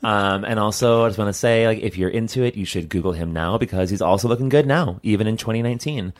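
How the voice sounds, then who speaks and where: Male podcast co-host, lower voice, relaxed enthusiastic chat. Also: fast talker. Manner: chatty, enthusiastic plugging. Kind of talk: casual chat.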